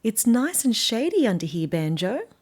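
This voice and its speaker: A woman with an Australian accent voicing a small, bossy and cheery female ladybird in a children's picture book, calling out in a drawn-out sing-song. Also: a little high, big pitch swings, a little mellow.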